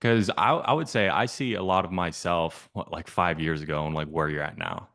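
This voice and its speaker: A man's voice: low-pitched, moderate pace, a bit bright. A man coaching on a sales call, asking probing, persistent questions in a casual way.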